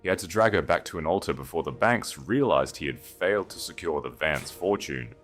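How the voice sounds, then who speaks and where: A man's voice: low voice, expressive, fairly quick, a bit bright, faint music behind. A man narrating a scripted story as a dramatic audiobook reader.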